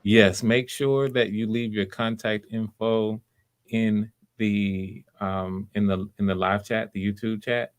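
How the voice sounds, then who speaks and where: Man, somewhat deep voice, encouraging casting host chatting casually on a live stream. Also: fairly even intonation, slow.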